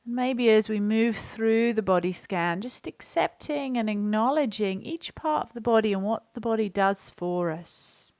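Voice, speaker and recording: A woman teaching guided relaxation in a gentle, soothing, unhurried manner, leaving pauses between phrases. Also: a little high, lively intonation, dry recording. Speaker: yoga and meditation teacher. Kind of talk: guided relaxation and body scan instruction.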